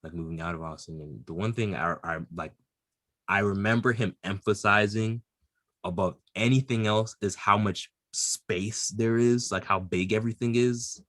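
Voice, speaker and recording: A man co-hosting a casual podcast, lively and loaded with fillers. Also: fairly low pitch, moderate pace, no echo. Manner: lively, hesitant with many fillers. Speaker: podcast co-host. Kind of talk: casual chat.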